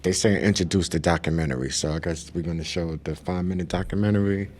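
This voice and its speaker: A man's voice: low voice, fast, a little mellow. A man hosting a talk show, asking questions in a hesitant, casual way with many fillers. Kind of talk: casual interview.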